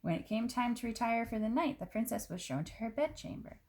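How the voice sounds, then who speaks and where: A woman reading a children's picture book aloud, in expressive narration with dramatic emphasis on descriptive words. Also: a little high, fairly quick.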